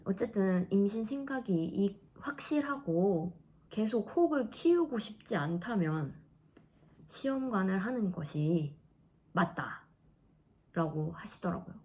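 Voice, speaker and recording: A woman in her thirties chatting casually in a vlog, laughing often and dropping into soft asides. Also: mid-range voice, lively intonation, takes it slowly. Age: 30s. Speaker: vlogger.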